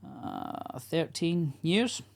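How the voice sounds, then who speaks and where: A man's voice: a little high, huge pitch swings, extremely slow, gravelly. A middle-aged Scottish man talking casually as a podcast guest, earnest and frank with many fillers and restarts. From Scotland. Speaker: podcast guest, coach to construction workers. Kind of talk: casual interview chat.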